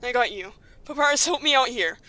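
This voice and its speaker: A woman's voice: a little high, lively intonation, fairly quick, pushed and bright. A woman playing a brave, caring, level-headed human child in an audio drama, in a plain, natural way. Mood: urgent. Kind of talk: audio drama character acting. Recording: music under the dialogue.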